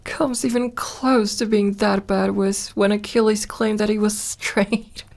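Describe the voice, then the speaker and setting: A woman acts out an ancient vampire lady for an ASMR roleplay. She speaks very slowly at a middling female pitch. Her manner is playful and teasing, with a lonely tenderness and a hesitant edge. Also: flat delivery, gravelly, darkish tone. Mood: amused disbelief. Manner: teasing, drawling, hesitant when flustered.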